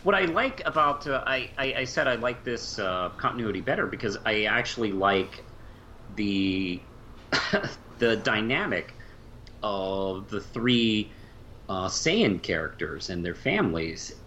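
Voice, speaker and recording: Guy podcast host, bright forceful voice, stop-start casual fan talk, kinda muffled with faint hiss. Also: fairly low pitch, lively intonation. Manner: halting, trailing off mid-phrase and repeating words. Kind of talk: casual fan discussion of animation.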